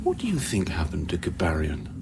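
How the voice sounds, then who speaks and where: An actor playing an evasive, cynical scientist on a remote station in a radio play, cagey and sardonic, with halting, wordy delivery. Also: male voice, low voice, huge pitch swings, background noise. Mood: guarded, dry. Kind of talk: radio drama acting.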